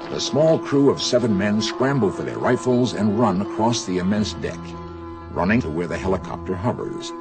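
A man's voice: on the low side, very animated, moderate pace, music in the background, low-quality recording. A man narrating a radio drama in a dramatic, scripted style.

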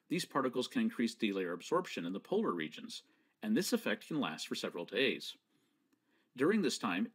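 Man lecturing with a lively pitch. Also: husky. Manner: measured, explanatory and precise. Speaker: presenter. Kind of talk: scripted slideshow lecture.

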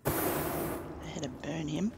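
Gravelly man narrating a game. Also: on the high side, noisy background. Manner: relaxed, chatty, thinking aloud while playing. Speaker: gaming commentator. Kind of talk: casual let's play commentary.

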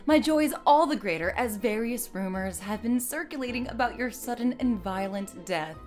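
A woman voicing a haughty, passive-aggressive sorceress in a dramatic letter reading, measured, formal and pointedly polite. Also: a little high, expressive, a little mellow, natural voice. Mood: icily polite. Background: music.

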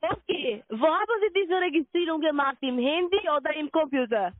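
A high-pitched woman works through a scripted sales call, insistent and repetitive. Her phrasing is halting and non-native, and the sound is a dry, thin phone line. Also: animated, no echo. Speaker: call centre sales agent. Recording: narrow tinny phone line.

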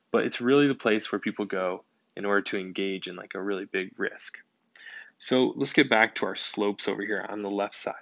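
Gravelly-voiced man explaining a lesson. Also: medium pitch, no room sound, muffled recording. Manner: steady explanatory, occasional "um". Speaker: teacher. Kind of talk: whiteboard lesson narration.

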